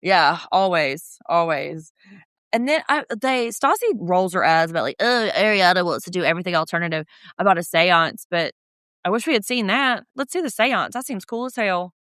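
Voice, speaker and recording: Brief: podcast co-host, woman, slightly deep voice, dry close mic, wry and animated. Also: moderate pace, dry recording. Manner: chatty, sardonic, animated asides. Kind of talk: casual recap chat.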